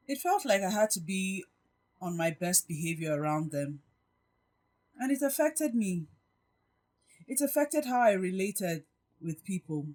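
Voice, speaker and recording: Poised, lowish-voiced self-assured woman actress. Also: mellow. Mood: candid, sympathetic. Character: Gabrielle, poised, self-assured woman behind emotional walls. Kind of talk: audio drama acting.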